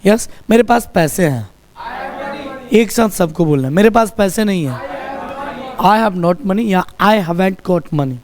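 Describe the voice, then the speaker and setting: A man's voice: high voice, lively intonation, a faint crowd. A man teaching spoken English in Hindi to a class, with a Hindi accent, drilling sentences and asking students to repeat them. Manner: asks the class to repeat sentences, slow and drawn-out.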